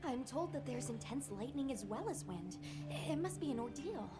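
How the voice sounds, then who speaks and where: A woman's voice: sits high, very animated, normal speed. A woman playing a polite, earnest young female traveler in a video game cutscene. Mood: curious. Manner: courteous, earnest. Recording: loud music behind. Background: music.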